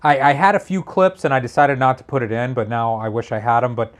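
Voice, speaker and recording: A man chats casually as co-host of a talk show. His voice sits at a medium pitch and has a slight rasp. The delivery is informal and conversational. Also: steady tone, fast talker. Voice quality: slightly raspy. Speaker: show co-host reading viewer messages. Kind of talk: casual chat.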